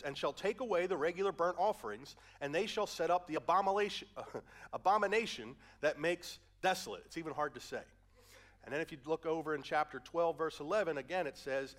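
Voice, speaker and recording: A man's voice: on the high side, fairly bright tone. A male pastor preaching a conversational sermon through a head cold, asking his listeners questions as he reads and explains verses. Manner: conversational, asks the audience questions.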